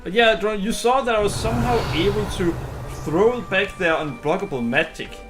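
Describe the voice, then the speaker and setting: A man's voice: high-pitched, moderate pace. A man streaming a video game, giving casual, chatty commentary and talking to his chat. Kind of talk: casual live gameplay commentary. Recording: game music behind the voice. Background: video game music.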